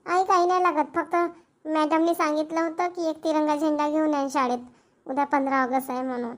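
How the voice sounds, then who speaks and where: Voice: sits high, level tone, brisk, a bit bright. A voice actor playing an eager little schoolgirl in a Marathi cartoon. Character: eager little schoolgirl talking to her mother. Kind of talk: cartoon dialogue.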